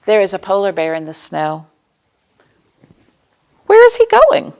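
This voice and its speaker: A woman leads a storytime for little children. Her pitch swings widely and expressively, with a creaky edge to the voice. She talks directly to her young listeners and draws them in with questions. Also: huge pitch swings, unhurried, darkish tone. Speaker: children's librarian and storytime host.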